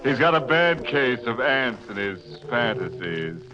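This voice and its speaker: Slow, theatrical ghoulish radio horror host. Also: male voice, mid-range voice, big pitch swings. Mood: ghoulish glee. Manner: macabre punning, drawn-out theatrical delivery. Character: ghoulish, mock-genial male host of a horror show. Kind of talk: 1940s radio horror narration. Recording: dull old radio recording with music.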